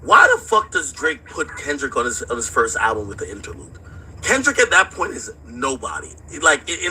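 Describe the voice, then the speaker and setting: A man's voice: a little high, bright, forceful tone, a little hiss or hum, rough recording. A man in a casual, slangy, profane debate, insistent and pressing his points with rhetorical questions. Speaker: debater in a discussion about rap.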